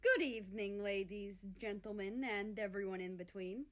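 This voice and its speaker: A woman's voice: mid-range voice, moderate pace, close to an everyday voice. A woman voicing a gracious, confident male ice-show star giving a formal address in a story.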